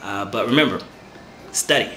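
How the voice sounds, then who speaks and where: A man's voice: lowish voice, moderate pace, bright, forceful tone, reverberant, a little hiss or hum. A man giving an earnest, encouraging talk to camera, repeating words as he thinks. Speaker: spiritual vlogger.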